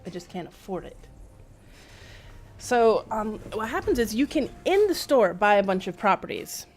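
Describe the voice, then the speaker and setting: A woman's voice: mid-range voice, sing-song, normal speed, soft background music. A woman giving casual live-stream game commentary, rambling as she thinks aloud, with wry asides.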